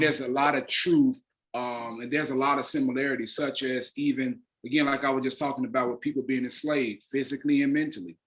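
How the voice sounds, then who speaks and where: A man speaking as an interview guest, explaining his ideas earnestly and deliberately, leaning on key words. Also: mid-range voice, a bit bright, poor audio. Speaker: interview guest, playwright and actor.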